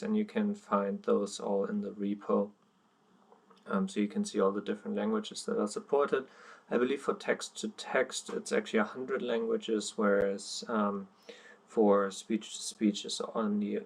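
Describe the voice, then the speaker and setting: A young man whose first language is German explains code at his screen, pausing often with ums and uhs. His voice sits low and gravelly, with little rise and fall. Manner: hesitant, many ums and uhs, plain explaining. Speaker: coding tutorial presenter. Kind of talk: screen-recorded coding walkthrough.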